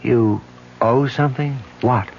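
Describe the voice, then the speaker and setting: An actor playing a young police detective gently coaxing his wife, in a 1970s radio drama on a dull vintage recording with faint hum. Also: medium pitch, measured pace, close to an everyday voice. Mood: tender. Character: devoted young husband, a dogged police detective.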